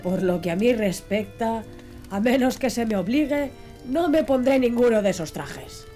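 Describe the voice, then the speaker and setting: A woman reads as a blunt, stubborn whaler in an audiobook. He sounds wary and skeptical, with a mellow tone and swinging intonation over music. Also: a little high, lively intonation, moderate pace, lightly acted. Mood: wary, reluctant. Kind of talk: audiobook character dialogue.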